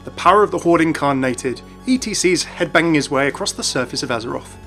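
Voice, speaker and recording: A man's voice: fairly high pitch, lively intonation, fast talker, pushed and bright. A man hosting a gaming video, reading scripted narration. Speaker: YouTube video host. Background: music.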